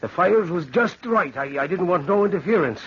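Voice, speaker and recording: A man's voice: sits high, expressive, moderate pace, lo-fi sound. An actor playing a flustered, stammering Irish workman in 1940s radio drama, on a noisy old recording. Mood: groggy, aggrieved. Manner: stammering, repeating himself. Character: Joe, flustered superstitious Irish kiln fireman.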